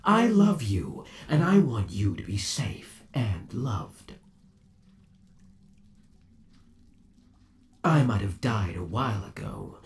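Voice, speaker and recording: A man's voice: lowish voice, a little mellow, exaggerated voice. A man playing an undead, obsessive boyfriend trapped in a mechanical suit, sweet-talking and possessive in a theatrical ASMR roleplay. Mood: pleading, possessive. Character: undead yandere boyfriend trapped in springlock suit, menacingly doting. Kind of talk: ASMR roleplay, scripted monologue to the listener.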